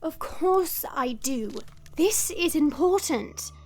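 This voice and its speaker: A woman's voice: high voice, lively intonation, slow pace, a little hiss or hum. A woman playing a haughty dragon princess in human form, speaking formally and imperiously in an audio drama. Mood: firm. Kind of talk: audio roleplay drama acting. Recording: music under the voice. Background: background music.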